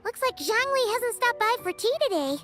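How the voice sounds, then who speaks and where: A woman voicing a tiny, cheerful, excitable childlike companion creature in a video game, squeaky and chatty. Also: moderate pace, over music. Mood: curious, uneasy. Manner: bubbly, exclamatory, refers to self in third person. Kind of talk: English video game voice acting.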